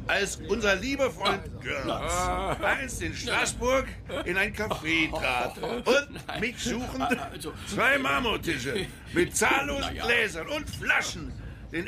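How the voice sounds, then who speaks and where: A boisterous, theatrical courtier in a radio play. His high voice swings widely with exuberance, amid a clearly audible crowd. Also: exaggerated voice. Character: boisterous courtier regaling the smoking room. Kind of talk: historical radio play, anecdote.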